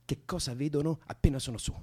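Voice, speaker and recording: A man's voice: a little high, quick pace, dark tone, breathy edge. An actor playing a young man in a radio drama, soothing a distressed woman with colloquial, improvised-sounding stories. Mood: playful, coaxing. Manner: coaxing, colloquial, imaginative. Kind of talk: Italian radio drama acting.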